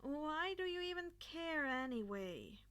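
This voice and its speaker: A woman's voice: high voice, very animated, unhurried, a bit bright, voice pushed up, heavily acted. A woman voicing a defensive, wounded teenage boy vigilante in an audio story, emotional and prone to stammering. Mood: defiant, annoyed.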